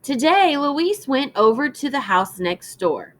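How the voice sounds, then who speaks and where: A woman teacher reading a children's story aloud in an instructive, deliberate way, as in a lesson for young children. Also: sits high, big pitch swings. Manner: instructive, deliberate, prompting listeners with questions.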